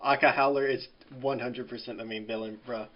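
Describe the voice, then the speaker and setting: A man with an average-pitched voice chats on a podcast. His delivery is casual and slangy. The recording sounds a bit dull and lo-fi. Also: fairly even intonation, fairly quick. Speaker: podcast co-host. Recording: dull, lo-fi call audio.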